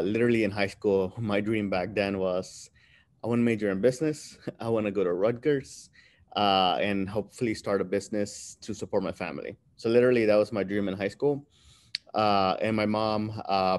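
A man in his thirties, born in Peru and raised in New Jersey, telling his life story as an interview guest on a video call, relaxed and filler-heavy. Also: lowish voice. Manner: relaxed, many fillers, laughs between phrases. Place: room with bright window behind. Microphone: webcam on video call.

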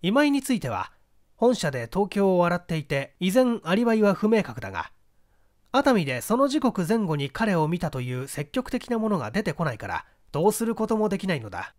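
A man's voice: high-pitched, big pitch swings, fairly quick, darkish tone. A man reading a literary mystery story as a scripted narration. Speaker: audiobook reader, stage actor.

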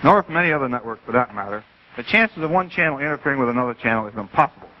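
An actor playing a serious, baffled chief engineer in 1950s live TV drama, explaining things emphatically. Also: male voice, mid-range voice, expressive, very fast, natural voice. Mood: earnest, baffled. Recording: dull 1950s TV audio, faint noise.

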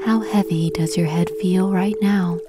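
A woman guiding a meditation slowly and soothingly with long pauses, her soft voice turning breathy at phrase ends. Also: fairly low pitch, fairly even intonation, over loud music. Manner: slow, soothing, gentle instruction with long pauses. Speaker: meditation guide. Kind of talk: guided meditation.

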